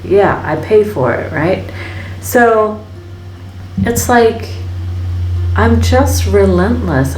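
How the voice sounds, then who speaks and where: A woman's voice: medium pitch, recorded from a distance, a little hiss or hum. A woman artist hosting a painting vlog, talking casually and reflectively while she mixes paint in her studio, with lots of fillers and little tag questions. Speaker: artist and channel host. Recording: echoey room, voice off-mic.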